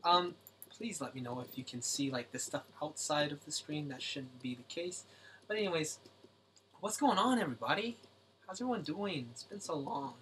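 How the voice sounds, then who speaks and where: A man hosting a casual late-night drawing livestream at home, his voice a little raspy and kept low so as not to wake anyone. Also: animated, moderate pace, a little hiss or hum, rough recording. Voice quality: speaks softly to keep voice down. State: slightly raspy from singing.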